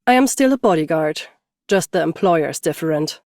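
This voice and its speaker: A woman's voice: low voice, lively intonation, moderate pace, a little mellow, dry recording. Cool, stoic warrior woman, dry sound. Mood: calm, dry. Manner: cool, restrained, commanding. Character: stoic battle-hardened champion swordswoman turned bodyguard. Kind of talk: scripted game character voice lines.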